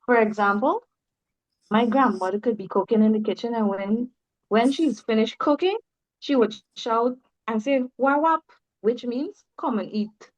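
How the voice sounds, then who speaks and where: A woman's voice: a little high, a little slow, dull audio. A woman, a student panelist explaining her family's heritage language. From Guyana. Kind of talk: class panel discussion.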